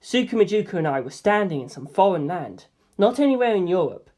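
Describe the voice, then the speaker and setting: A woman's voice: fairly low pitch, big pitch swings, a little fast, warm tone. A woman reading a novel aloud as a scripted first-person narration. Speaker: amateur audiobook reader.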